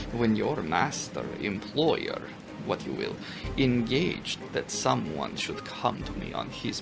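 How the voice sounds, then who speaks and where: A man performs an old vampire count for a gothic audiobook. The delivery is slow and formal, courteous yet menacing, with a creaky edge and sweeping intonation. Music plays underneath. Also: on the low side, heavily acted, low-quality recording. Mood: commanding, smoothly insistent. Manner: slow, formal, deliberate with dramatic pauses. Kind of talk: dramatic audiobook character reading.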